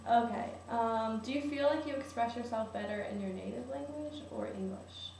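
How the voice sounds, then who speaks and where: A woman interviewer asks short, prompting questions in a voice of middling pitch. She sits away from the mic in a very echoey room, with clear background noise behind her. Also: normal speed, darkish tone, recorded from a distance. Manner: brief, prompting.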